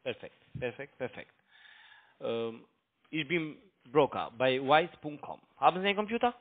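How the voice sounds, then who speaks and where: A man's voice: medium pitch, animated. Foreign-accented man on a tinny phone line. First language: not German. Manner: halting, broken grammar. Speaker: cold caller posing as a broker. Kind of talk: unscripted sales phone call.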